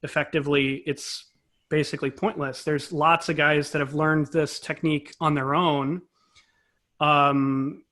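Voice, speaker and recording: A man's voice: fairly high pitch, little pitch movement, a little slow, a bit bright. A man speaking as an interview guest, a composer and conductor explaining things conversationally in a thoughtful, self-correcting way.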